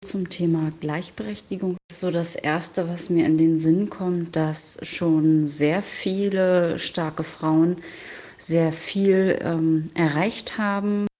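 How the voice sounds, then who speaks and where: A woman's voice: fairly low pitch, steady tone, slow, dark tone. A woman giving a short, hesitant interview answer.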